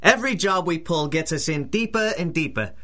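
An actor playing a desperate small-time stick-up man in a 1930s-style radio crime drama, excitable, bitter and slangy. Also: a little high, a little fast. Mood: bitter, agitated.

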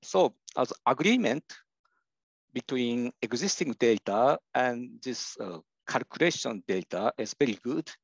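Hesitant Japanese-accented older man, gravelly. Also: mid-range voice, expressive, measured pace, no room sound. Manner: hesitant, many "uh" fillers and restarts. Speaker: university professor giving a lecture. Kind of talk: slide lecture. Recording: dull lo-fi dry recording.

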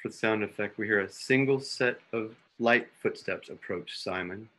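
A man's voice: on the low side, normal speed, a bit bright. A man giving scripted dramatic narration for a radio play, reading out sound cues as the narrator. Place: home room on a video call.

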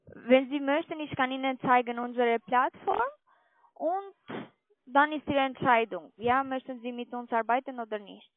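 Female telesales caller, high voice, non-native speaker with halting grammar, tinny phone line. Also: dry recording. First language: not German. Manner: halting, ungrammatical German. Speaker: telephone sales caller. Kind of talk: cold-call sales pitch.

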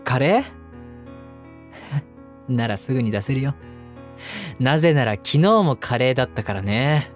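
A man acting as a devoted young husband, speaking gently and intimately to the listener as his wife in an audio drama. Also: mid-range voice, measured pace, pauses often, close to an everyday voice. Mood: gentle, caring. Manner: gentle, affectionate, softly chuckling between phrases. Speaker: voice actor. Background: background music.

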